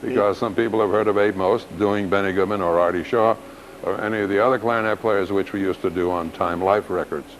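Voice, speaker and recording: An older man in his seventies with a low, unhurried voice tells rambling, self-deprecating stories about his years as a clarinetist. He talks casually as a guest. Faint backstage noise sits under his voice. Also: steady tone, rough recording. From New York City. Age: 70s. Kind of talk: casual interview.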